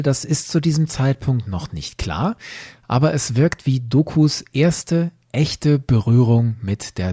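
Man, podcast host, explanatory narration, husky voice, animated intonation, dry close sound. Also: medium pitch, no echo. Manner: structured, explanatory. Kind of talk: explanatory commentary, prepared narration.

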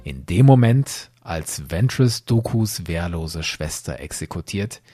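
A man's voice: low voice, expressive, slow, over music. A man hosting a podcast, explaining in a didactic way with emphatic phrasing. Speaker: podcast host.

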